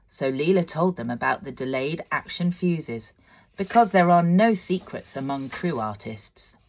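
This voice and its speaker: A woman with a low voice narrates a children's storybook. She reads expressively, as an amateur storyteller sharing a chapter. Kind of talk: scripted narration of a children's story, read aloud.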